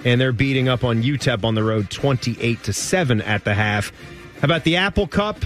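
A man's voice: mid-range voice, brisk, soft background music. A man hosting a post-game college football radio show, rattling off scores and joking with his co-host. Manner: lively, rattles off scores and jokes.

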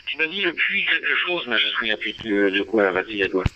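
A man's voice: fairly low pitch, big pitch swings, fairly quick. A man chatting casually as an amateur operator over a two-way radio. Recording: dull, distant, dry radio transmission.

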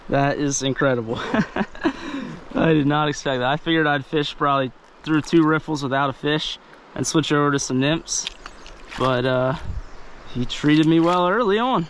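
A man fly fishing in a river, chatting casually to the camera and talking to the fish as he lands them. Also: on the high side. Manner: chatty, excited, talks to the fish. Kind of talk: casual narration while fishing. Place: outdoors wading a river. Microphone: head-mounted action camera. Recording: faint river noise outdoors. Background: rushing stream water.

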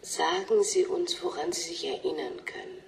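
An actress in a radio drama playing a calm female researcher questioning a subject. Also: extremely high pitch, normal speed, gravelly, a little hiss or hum, heavily acted. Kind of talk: German radio drama acting.

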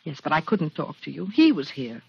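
A frightened, husky young woman. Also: low-pitched, big pitch swings, fairly quick, no room sound, exaggerated voice. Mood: urgent. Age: young adult. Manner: breathless, stammering, hushed urgency. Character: frightened, urgent, determined young woman informant. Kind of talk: 1940s radio thriller acting. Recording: muffled 1940s radio recording.

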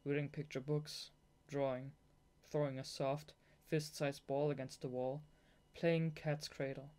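Audiobook narrator, young adult, native German speaker, medium pitch, amateur novel reading with fannish asides. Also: warm tone. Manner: giggly, gushing fangirl asides between read passages. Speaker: audiobook reader.

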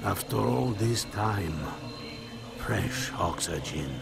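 Very gravelly dying cosmonaut captain. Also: male voice, on the low side, animated, music in the background, heavily acted. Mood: faint, awed. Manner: halting, fragmentary, weak. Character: dying, awestruck male Soviet cosmonaut captain. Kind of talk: dramatic video game cutscene acting.

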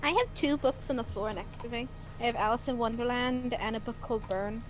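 A woman's voice: a little high, fairly quick, soft background music, rough recording. A woman chatting casually with friends during an amateur game voicing stream. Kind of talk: casual chat.

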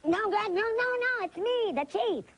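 An actress playing a brisk, bossy detective chief shrunk tiny, in comic children's TV style. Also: female voice, very high voice, expressive. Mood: urgent, exasperated.

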